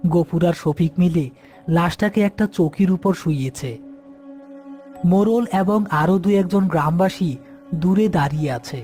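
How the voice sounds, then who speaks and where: A man's voice: sits high, normal speed, long pauses, darkish tone. A man narrating a scripted horror story as its storyteller. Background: background music.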